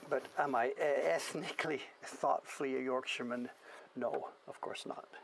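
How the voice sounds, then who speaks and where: A man's voice: mid-range voice, steady tone, moderate pace, gravelly, a little hiss or hum. A man in his seventies with a generic Canadian accent, chatting casually in a rambling, musing way as he works. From Canadian prairies, born in England. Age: 70s. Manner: rambling, musing, self-questioning. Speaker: printmaker hosting a studio stream. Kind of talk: casual reminiscing chat while working.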